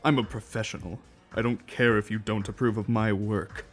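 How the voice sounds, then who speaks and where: A man's voice: on the low side, fairly even intonation, normal speed, faint music behind, natural voice. A man playing a proud, treacherous spy and assassin in a comic dub, curt and haughty.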